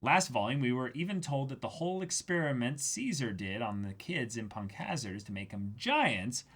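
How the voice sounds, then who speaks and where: A man's voice: medium pitch, lively intonation, normal speed, a bit bright, slightly rough. A man co-hosting a livestream, chatting casually and enthusiastically as a fan. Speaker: livestream co-host.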